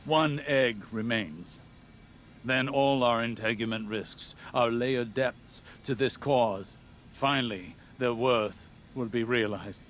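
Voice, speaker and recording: An actor playing an earnest, scholarly older male warlock in a video game, speaking in a deliberate, philosophical way. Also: mid-range voice, a bit bright, slight background noise. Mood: solemn. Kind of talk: dramatic video game dialogue.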